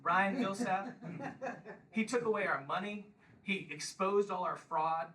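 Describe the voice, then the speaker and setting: Whiny leftist guy complaining, man putting it on, bright, far from mic. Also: high-pitched, measured pace, pitched above the performer's own voice. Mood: mock aggrieved.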